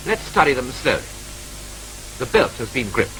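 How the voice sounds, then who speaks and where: Brisk British 1940s newsreel narrator. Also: male voice, medium pitch, very animated, a bit bright, low-quality recording. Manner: brisk, clipped, wryly matter-of-fact. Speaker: training film narrator. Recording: old film soundtrack with faint hiss.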